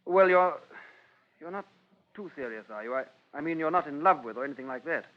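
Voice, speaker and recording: An actor playing a breezy, teasing upper-class British older brother in a 1950s radio drama, with a jaunty, clipped manner. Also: male voice, a little high, expressive, a little fast, lightly acted. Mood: apologetic, concerned. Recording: muffled vintage radio recording.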